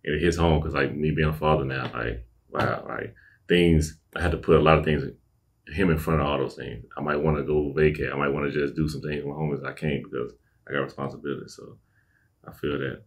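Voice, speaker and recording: A young man hosting a podcast interview, relaxed and casual, with lots of short affirmations. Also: extremely low pitch, fairly quick. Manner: laid-back, frequent affirmations like "okay, okay" and "I got you". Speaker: podcast host.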